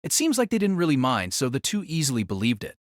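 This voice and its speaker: A man's voice: medium pitch, huge pitch swings, fast, dry recording, studio quality. A man narrating a light novel in a dramatic, scripted storytelling style.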